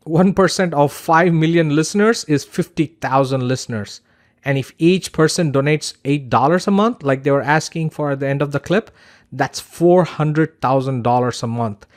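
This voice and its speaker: A man's voice: a little high, moderate pace. A man narrating a scripted online course as its instructor, in a conversational, emphatic, instructional way.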